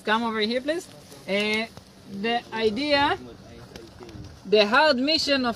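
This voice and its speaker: A man in his forties, an agronomist, explaining farm work patiently in halting, non-native English beside a field. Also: very high voice, fairly bright tone, a little hiss or hum, low-quality recording. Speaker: agronomist guiding a farm tour. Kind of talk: outdoor field lecture. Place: outdoors by a cornfield.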